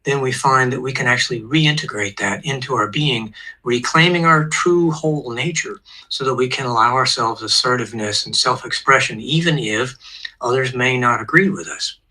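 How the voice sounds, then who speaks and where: A man in his sixties, a therapist, calmly explaining psychological ideas as a guest on an online show. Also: mid-range voice, moderate pace, rough recording.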